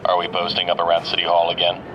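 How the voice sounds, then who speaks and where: A man's voice: sits low, completely flat delivery, fast, lo-fi sound, noisy background, natural voice. A man playing a respectful, deferential police officer in an audio drama, addressing a superior. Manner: respectful, apologetic.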